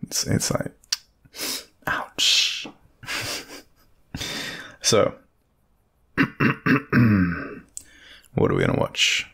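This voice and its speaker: An Australian man playing a shy, easygoing young man smitten with his friend, speaking haltingly in an intimate audio roleplay. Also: sharp tone. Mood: bashful, relaxed. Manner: hesitant, halting, chuckling, stammering.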